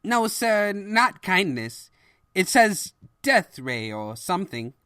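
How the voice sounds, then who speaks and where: A man's voice: high-pitched, slow, clear, resonant tone, no room sound, studio quality, pitched above the performer's own voice, full character voice. A man voicing a loyal, long-suffering pony chancellor, deferential and servile to an evil king, in a story. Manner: deferential, servile, deadpan asides.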